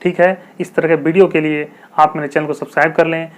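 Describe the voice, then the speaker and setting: A man explaining common English mistakes in a video lesson, slowly repeating example sentences and asking rhetorical questions. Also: on the high side, little pitch movement. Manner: rhetorical questions, chatty teacherly asides. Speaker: English teacher.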